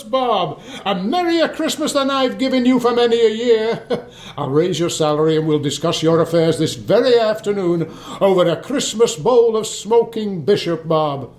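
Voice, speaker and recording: A man's voice: sits high, expressive, a little slow, echoey room. A man playing an elderly reformed miser in a radio play, theatrical and chuckling, gleeful and mischievous. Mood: jubilant. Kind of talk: stage-like radio play acting.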